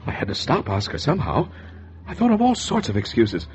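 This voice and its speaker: An actor playing an anxious, guilty husband hiding a murder, with a hesitant, stammering American delivery in 1940s radio noir style. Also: male voice, medium pitch, huge pitch swings, brisk, slightly rough, heavily acted. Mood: confiding, scheming. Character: anxious guilty husband, secretive murderer, narrating his crime. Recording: dull, muffled vintage 1940s radio recording.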